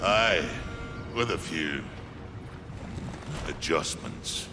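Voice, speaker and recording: Deep, gruff giant. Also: male voice, lively intonation, extremely slow, heavily acted. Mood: resolute, urging. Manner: gruff, solemn, archaic phrasing. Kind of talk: dramatic video game cutscene acting. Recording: loud game music behind the voice.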